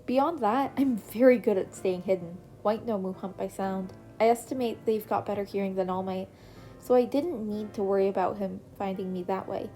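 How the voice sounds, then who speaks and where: Cocky young vigilante guy, woman reading him, breezy and sure of himself, mellow tone. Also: medium pitch, normal speed, lightly acted. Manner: breezy, self-assured. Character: cocky young man vigilante turned hero.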